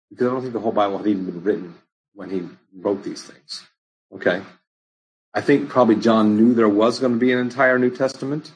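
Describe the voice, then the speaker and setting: A man's voice: fairly low pitch, slightly rough, rough recording. A man pastor answering congregation questions in a casual Bible study, talking conversationally with many ums and restarts.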